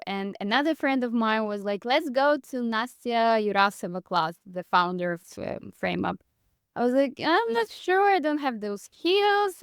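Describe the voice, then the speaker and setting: Woman, 30s, Russian accent, higher voice, dry close sound, chatty, laughs a lot. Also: smooth, no echo. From Moscow, Russia. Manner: chatty, laughs often, frequent "like". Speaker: guest, dance teacher. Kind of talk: casual interview talk.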